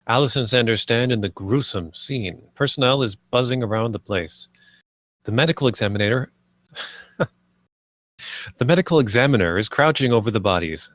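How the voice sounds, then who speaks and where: A man's voice: mid-range voice, fairly bright tone, no room sound, studio quality. A man reading stage directions as the narrator of a screenplay table read.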